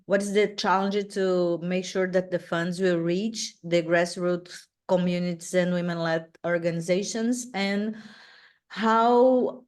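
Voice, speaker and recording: Brazilian-accented woman, flat low delivery, polite questioner on a video call. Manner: polite, searching for words, restarts. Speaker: external relations director.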